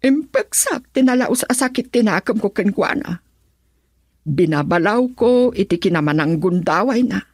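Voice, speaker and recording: A woman's voice: medium pitch, lively intonation, normal speed, darkish tone, husky, no room sound, clean studio sound. A woman with a Filipino accent playing a stern, aggrieved middle-aged mother in a radio drama, scolding and emphatic in her delivery. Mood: indignant recounting. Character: stern, aggrieved mother confronting and protecting her pregnant daughter. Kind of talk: Ilocano radio drama acting.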